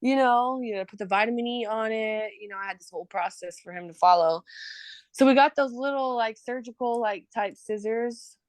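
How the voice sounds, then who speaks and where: A woman's voice: fairly high pitch, a little fast, dry recording. A woman in her thirties, a podcast guest chatting casually and laughing often as she tells stories. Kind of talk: casual chat.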